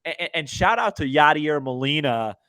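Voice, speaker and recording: A man with a somewhat high voice talks sports as a podcast co-host, recorded dry and close. He is opinionated and emphatic, tripping over repeated words as he breaks things down in casual banter. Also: lively intonation, moderate pace, pushed and bright, clean tone, no room sound.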